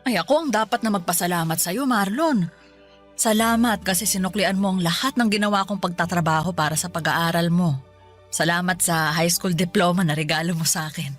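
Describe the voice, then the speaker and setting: A woman's voice: on the low side, fairly quick, faint music behind, close to an everyday voice. Tender single mother, as a woman plays her in a radio drama. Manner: tender, gently insistent. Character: caring, hardworking single mother, middle-aged.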